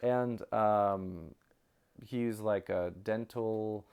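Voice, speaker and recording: A man chatting casually as an interview guest, with an animated storytelling manner. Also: on the low side, drawn-out words, clear, resonant tone, lo-fi sound. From outside Japan. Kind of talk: casual chat.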